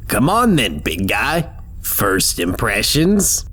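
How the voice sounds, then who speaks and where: A man's voice: fairly low pitch, huge pitch swings, takes it slowly, a bit bright, slightly rough, heavily acted. A man playing a wry robot inventor with a flippant, showman-like manner in a sci-fi audio drama. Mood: proud, playful. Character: chirpy, wry male robot inventor showing off his work.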